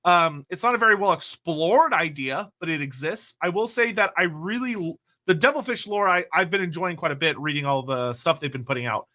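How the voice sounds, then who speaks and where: Young guy on a stream, high bright voice, rambly and excited, drops f-bombs, reading chat questions. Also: a little fast, hardly any pauses. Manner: rambling, excitable, swears casually. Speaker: co-host reading viewer questions. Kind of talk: casual live-stream chat.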